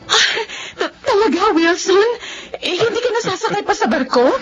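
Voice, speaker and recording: A woman's voice: extremely high pitch, expressive, moderate pace, a bit bright, highs cut off, soft background music. An actress with a Filipino accent playing a devoted, sentimental wife and mother in a tender, emotional radio drama style. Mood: tearfully happy.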